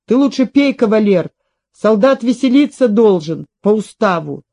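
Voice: medium pitch, animated, moderate pace, dark tone. A performer voicing a teasing peasant householder with rustic speech in a story reading. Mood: weary, teasing. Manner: rustic, teasing, feigned surprise. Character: mocking peasant man, master of the hut.

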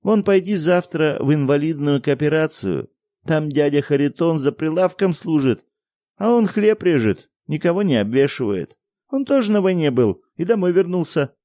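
A man voicing a defiant peasant boy who scolds his parents in a high, indignant voice. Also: expressive, normal speed, dark tone, no room sound, close to an everyday voice. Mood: earnest, rambling.

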